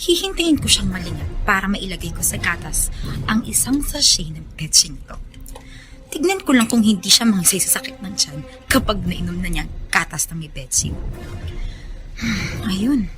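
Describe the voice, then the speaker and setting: A woman's voice: mid-range voice, sing-song, moderate pace, a bit bright, faint music behind. A woman acting a spiteful, jealous young rich schemer in a radio drama, with a sneering, emphatic delivery. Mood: scheming malice.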